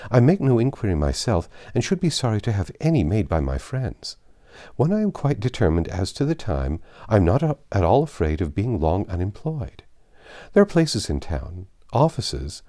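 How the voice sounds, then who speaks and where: A man voicing a reserved, polite young woman in a classic novel reading, formal and quietly firm. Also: on the low side.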